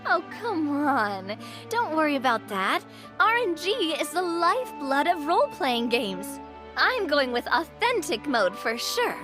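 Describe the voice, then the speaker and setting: A woman's voice: high voice, very animated, unhurried, over music. A woman voicing a bubbly, confident, adventurous young lady in a video game, breezy and playful. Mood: enthusiastic.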